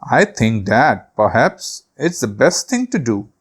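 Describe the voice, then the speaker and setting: A man voicing a cheerful, polite novice skier in an expressive children's storybook reading. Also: mid-range voice, slow pace, breathy edge, exaggerated voice. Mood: rueful. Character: cheerful, eager man learning to ski with his dog.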